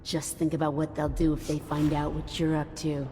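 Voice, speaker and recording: A woman's voice: sits low, monotone, moderate pace, dark tone, a little hiss or hum, close to an everyday voice. A woman voicing a menacing gang enforcer who collects protection money, in a video game. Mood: threatening. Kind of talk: video game character dialogue. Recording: long hall reverb, faint music.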